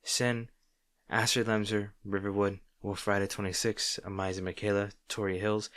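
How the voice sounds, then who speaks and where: A man with a low voice speaks as a channel host in a casual outro, reading names from a list. The recording is very dry, with little room sound. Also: little pitch movement, moderate pace, fairly bright tone, no echo. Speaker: audio roleplay channel host.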